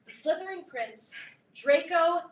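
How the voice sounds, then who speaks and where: A woman playing a domineering, self-important teenage girl in a staged play reading, speaking in a theatrical, pompous, deliberate way. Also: very high voice, animated. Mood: grandly adoring. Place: live reading in a roomy indoor space. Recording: distant echoey room, clear background noise.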